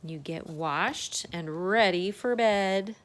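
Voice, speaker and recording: A woman's voice: medium pitch, slow pace. A woman reading a children's storybook aloud as a circle-time teacher, adding chatty asides, exclamations and questions for young listeners.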